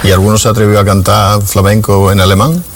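Low-voiced man asking brief questions. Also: flat delivery, fairly quick, a little hiss or hum. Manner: brief prompting questions and murmured assent. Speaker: interviewer. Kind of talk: interview questions.

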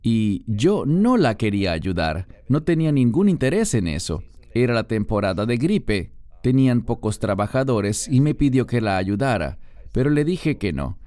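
A man's voice: mid-range voice, very animated, moderate pace, mellow, no echo. A man being interviewed as a guest, telling his personal story in an earnest, storytelling way, heard as a Spanish voice-over.

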